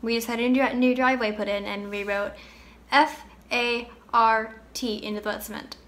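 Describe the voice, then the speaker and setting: A young woman playing a candid, grieving girl in a conversational, wistful dramatic monologue with pauses. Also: on the high side, fairly even intonation, a bit bright. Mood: fond, playful.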